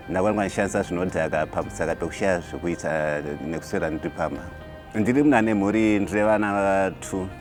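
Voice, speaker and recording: Deep, halting Zimbabwean man. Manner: halting, frequent repeats. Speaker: minibus conductor. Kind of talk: personal testimony. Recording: music under the voice.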